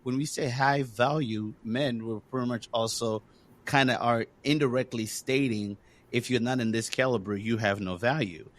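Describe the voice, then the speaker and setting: A man's voice: medium pitch, moderate pace. A man from Congo hosting a podcast, talking earnestly and encouragingly in casual conversation, with lots of fillers. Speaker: podcast host.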